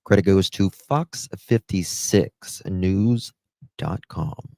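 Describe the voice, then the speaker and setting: A middle-aged man with a Southern US accent, hosting a casual livestream into a close desk mic in a halting, unhurried way. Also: low-pitched, creaky, darkish tone, no echo. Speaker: livestream host. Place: small room at home, indoors. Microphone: close desk microphone.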